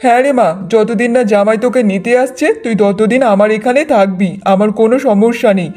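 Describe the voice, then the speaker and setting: A man's voice: high-pitched, normal speed, darkish tone, faint music behind, natural voice. A man voicing a protective older village father in a cartoon, firm and admonishing. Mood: reassuring. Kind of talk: Bengali moral-story cartoon dubbing.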